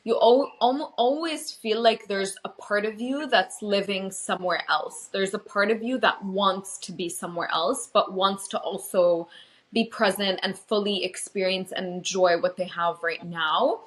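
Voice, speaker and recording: A woman co-hosting a casual podcast from home over a video call, with a light American-tinged accent, chatty and quick to laugh. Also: medium pitch. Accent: light American-tinged English. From Lebanon. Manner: chatty, fillers and restarts, laughs often. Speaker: podcast co-host. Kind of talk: casual chat.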